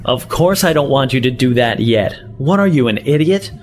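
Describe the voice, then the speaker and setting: An actor playing a condescending, impatient professor villain in an audio drama, sardonic and falsely friendly. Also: male voice, mid-range voice, animated, normal speed, darkish tone, lightly acted. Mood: irritated, scornful. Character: scheming, impatient male professor, coldly menacing villain. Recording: music bed under the voice.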